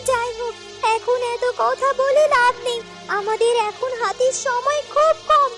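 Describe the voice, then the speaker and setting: A woman's voice: very high voice, a bit bright. A woman voicing an anxious, commanding serpent queen in a fairy-tale cartoon, speaking urgently and with emphasis. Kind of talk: dramatic cartoon voice acting. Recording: music under the voice.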